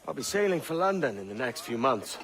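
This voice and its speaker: An actor playing a restless, earnest pirate captain who is ready to settle down, in a video game cutscene. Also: male voice, mid-range voice, big pitch swings, a little fast, noisy background. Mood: wistful, sincere.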